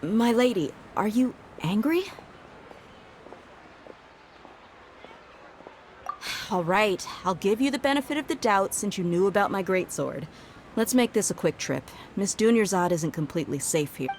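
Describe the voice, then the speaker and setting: An actress plays a tough young woman who works as a loyal bodyguard in a video game. She talks bluntly and casually in a mid-range voice with lively intonation, over faint noise. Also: mid-range voice, normal speed, natural voice. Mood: steady, dutiful.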